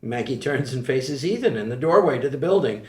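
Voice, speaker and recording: A man's voice: mid-range voice, expressive, brisk. A man reading screenplay stage directions in a plain, matter-of-fact way. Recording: roomy echo.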